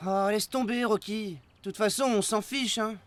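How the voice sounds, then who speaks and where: A woman playing a boastful but soft-hearted big-brother boy in a cartoon, casual and boyish. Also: medium pitch, steady tone, moderate pace, dry recording, natural voice. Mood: dismissive, sheepish. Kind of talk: animated cartoon acting.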